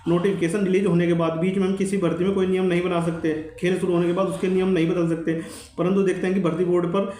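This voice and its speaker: A man's voice: high-pitched, flat delivery, quick pace, mellow. A man giving an informal advisory update to viewers, insistent, earnest and repetitive. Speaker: exam update YouTuber. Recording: echoey room.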